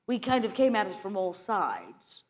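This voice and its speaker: A woman's voice: medium pitch, big pitch swings, moderate pace. A woman playing a tabletop game live, bantering in and out of character in a dry, sardonic way. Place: live stage at a gaming convention. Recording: noisy live convention recording.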